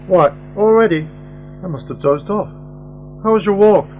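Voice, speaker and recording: A man's voice: sits high, moderate pace, a little mellow, dull audio, natural voice. A man playing an eccentric, pedantic time-travelling uncle in an amateur radio play. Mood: drowsy, mildly stern. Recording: noisy, humming home recording.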